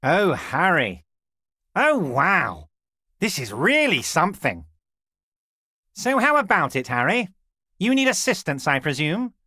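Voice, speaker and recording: A smug, flattering union boss. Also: male voice, on the high side, dry recording, clean studio sound, exaggerated voice. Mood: oily flattering. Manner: flattering, smug, slow and drawn-out. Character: oily scheming middle-aged union boss man. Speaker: video game voice actor. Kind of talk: video game character dialogue.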